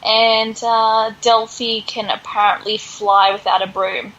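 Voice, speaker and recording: A woman's voice: a little high, flat delivery, measured pace, fairly bright tone, muffled recording. A woman hosting a podcast, casually recapping a story in a sarcastic, exasperated way. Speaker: podcast host.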